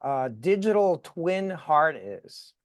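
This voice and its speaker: A man hosting a podcast interview, speaking in a measured way with frequent "uh" fillers. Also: a little high, very animated, no room sound, studio quality. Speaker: podcast host. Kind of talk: interview, reading a guest introduction.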